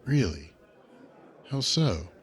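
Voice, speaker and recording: An actor playing a cautious, protective male ally in an audio drama, with a gentle, measured and concerned manner. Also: fairly low pitch, very animated, some chatter behind. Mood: probing.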